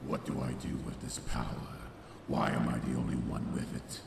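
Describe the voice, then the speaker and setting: A man voicing a brooding, vengeful shadow pony villain in a comic dub, with a gravelly voice and a theatrical delivery. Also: rumbling low, little pitch movement, moderate pace, full character voice. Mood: uncertain. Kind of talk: dramatic comic dub narration and dialogue. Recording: roomy, distant, faintly noisy home recording.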